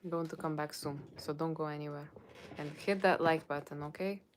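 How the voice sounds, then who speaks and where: A woman's voice: sits low, steady tone, fairly quick, slight background noise. A woman with a Russian accent chatting casually and informally to livestream viewers as a tarot reader, in a rambling way. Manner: rambling, informal, often checking with "okay".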